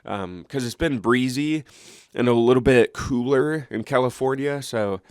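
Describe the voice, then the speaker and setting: A man's voice: mid-range voice, unhurried, smooth, no echo, clean studio sound. A congested man hosting his own channel, reading news articles aloud and then chatting casually with fillers and restarts. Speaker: channel host.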